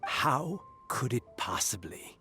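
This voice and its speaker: An actor playing a gentle, sentimental old man in a video game, speaking with tender wistfulness. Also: medium pitch, big pitch swings, slow pace, breathy edge, faint music behind, full character voice. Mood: moved, tender.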